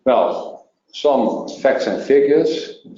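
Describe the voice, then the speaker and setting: Deep dark-toned guy giving a webinar talk, lots of uhs, echoey lo-fi sound. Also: expressive. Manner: halting, many fillers and restarts. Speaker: webinar presenter. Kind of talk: presenting slides in a webinar. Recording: roomy, dull lo-fi sound.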